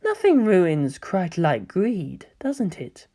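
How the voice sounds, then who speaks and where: A sly young male villain, part angel, speaks through a man's narration in a coy, gentle and mocking way. His lines swing widely in pitch. He muses theatrically, as in a dramatic story reading. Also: wildly swooping pitch, slow, heavily acted. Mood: musing.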